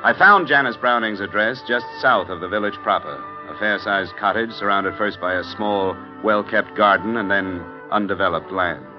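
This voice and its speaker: A man's voice: fairly low pitch, normal speed, hardly any pauses, natural voice. An actor playing a hardboiled male insurance investigator in a 1950 radio drama, dry and sardonic. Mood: narrating. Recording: dull 1950 radio transcription with music.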